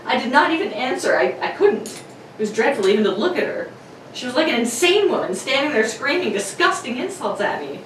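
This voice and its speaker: An actress playing an earnest, distraught young governess, pleading and halting in a melodramatic radio drama. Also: female voice, sits high, sing-song. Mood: distressed. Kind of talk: 1940s radio drama acting. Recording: roomy, distant mic with clear noise.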